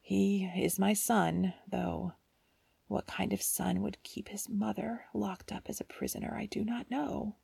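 A woman voicing a frightened, sorrowful old woman held prisoner, speaking in a slow, hissing whisper. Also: medium pitch, steady tone, vocal fry, mellow, husky, no room sound, exaggerated voice. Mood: grave warning.

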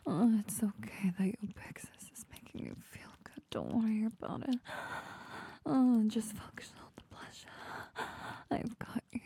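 A young woman whispering softly and soothingly into a close microphone in an intimate ASMR roleplay. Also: fairly high pitch, gravelly, husky. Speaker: audio roleplay performer.

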